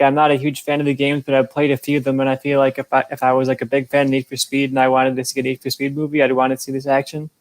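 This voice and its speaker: A man's voice: mid-range voice, no echo. A man in casual conversation, giving his opinion as a podcast judge. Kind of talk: casual chat.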